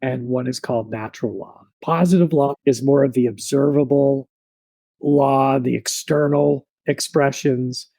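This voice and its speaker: Man, thirties, podcast guest, mellow tone, dry close sound, rambling storyteller. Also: mid-range voice, a little slow, no room sound, rough recording. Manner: relaxed, reflective, rambling with many fillers.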